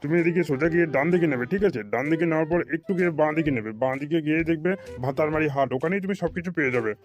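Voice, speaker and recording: Rapid-talking cartoon grandpa, acted by a man. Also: fairly high pitch, dull audio, faint music behind, natural voice. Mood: mollified, helpful. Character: easily offended, chatty elderly Bengali grandfather. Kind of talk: comedy cartoon dialogue.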